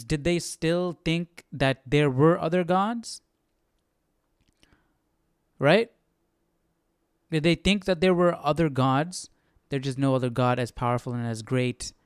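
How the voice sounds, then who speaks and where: A man hosting a Bible study, talking casually and reflectively, often thinking out loud and posing questions. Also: on the high side, slow, long pauses, clear, resonant tone, dry recording, clean studio sound. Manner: musing aloud, questioning. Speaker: host of a live Bible study stream.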